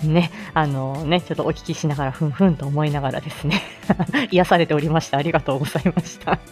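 A woman hosts a casual morning live chat. She is flustered, giggly and chatty, with very lively intonation and soft music underneath. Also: low-pitched. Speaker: livestream host.

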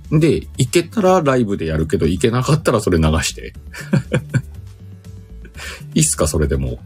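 A man's voice: fairly low pitch, huge pitch swings, moderate pace, darkish tone, faint music behind. A man hosting a casual livestream chat, speaking informally in slang and often chuckling. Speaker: livestream host.

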